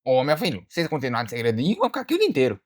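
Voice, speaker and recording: A man acts out an impatient alligator detective from Brazil's cartoon world, with a Brazilian accent. He fires questions extremely fast, his pitch leaping about in exasperation. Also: wildly swooping pitch. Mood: insistent. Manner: questioning, exclamatory. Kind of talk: animated murder-mystery dialogue.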